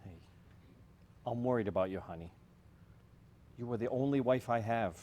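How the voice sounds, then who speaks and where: An actor playing an affectionate, puzzled young husband in a theatrical comedy reading, earnest and inquisitive. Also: male voice, medium pitch, moderate pace, a little mellow, lightly acted. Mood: caring.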